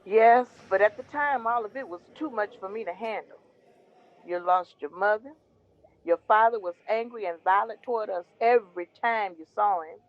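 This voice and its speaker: A woman playing Mama Ronnie, a devout foster mother who gently consoles her jailed son in an audio drama. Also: mid-range voice, moderate pace, highs cut off, close to an everyday voice. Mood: earnest. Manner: gentle, consoling, preaching faith. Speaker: Drew's mother.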